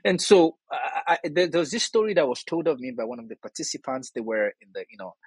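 A man with a Nigerian accent speaks as an interview guest in a slightly high, husky voice. He is earnest and emphatic, explaining things conversationally. The sound is dry, with very little room in it. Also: quick pace, no echo. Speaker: interview guest, theatre artist and scholar. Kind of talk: conversational interview answers with anecdotes.

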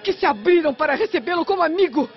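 High-voiced, dignified Roman noblewoman. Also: lively intonation, quick pace. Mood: indignant, reproachful. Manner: formal, eloquent, declamatory. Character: indignant, dignified married Roman noblewoman defending her honour. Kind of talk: radio drama acting. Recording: muffled radio drama with faint music.

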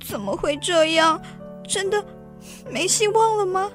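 An actress playing an anxious, flustered and plaintive young wife in a literary radio drama. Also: female voice, extremely high pitch, animated, unhurried, a bit bright, soft background music, exaggerated voice. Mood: despairing. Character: distraught young wife who lost a borrowed necklace.

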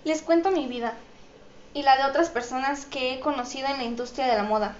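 High-voiced Mexican woman in an echoey room. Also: brisk. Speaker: interview guest, model. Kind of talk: answering audience questions in an interview. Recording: dull, echoey room with faint noise.

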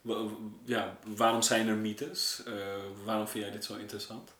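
Male podcast interviewer, bright and forward tone, casual, searching delivery, noticeable room echo. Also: fairly low pitch, flat delivery, normal speed. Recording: roomy, echoing space.

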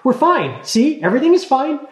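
Casting: male reader putting on a bossy, chattering gnome girl, high and bright, storybook audiobook. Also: animated, normal speed, reverberant. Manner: blunt, morbid, hasty chatter. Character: bossy 263-year-old female gnome girl.

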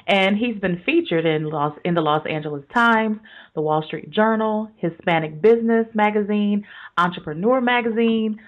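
A woman hosting a business radio interview, with an energetic, promotional delivery. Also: medium pitch, moderate pace, narrow, tinny audio. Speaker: podcast host.

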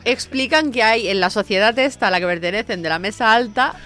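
An animated woman chats casually about films on a podcast. She retells scenes in a rambling way and laughs often, with faint music under her voice. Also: a little high, a little fast. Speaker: podcast co-host. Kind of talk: casual film chat.

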